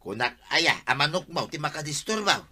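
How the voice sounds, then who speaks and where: A man's voice: fairly high pitch, sing-song, a little fast, pushed and bright, exaggerated voice. A man with an Ilocano Filipino accent playing a querulous, grouchy villager in an amateur comedy skit. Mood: grumbling. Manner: querulous, insistent. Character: grouchy village man forever complaining about noisy roosters.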